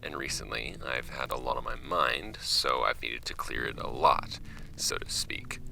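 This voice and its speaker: An actor playing a wry, offhand young man narrating his homemade podcast about his late uncle's files in an audio drama, with a gravelly voice. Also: sharp tone, a little hiss or hum, exaggerated voice, poor audio. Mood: measured, reflective. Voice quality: very deep, gravelly. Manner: wry, offhand, halting when ad-libbing, measured when reading.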